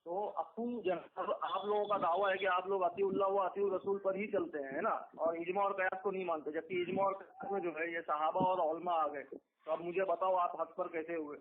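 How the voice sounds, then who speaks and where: A man debating on a phone call in a challenging, questioning manner, quick to interrupt. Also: high voice, steady tone. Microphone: telephone. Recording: tinny phone line with background voices.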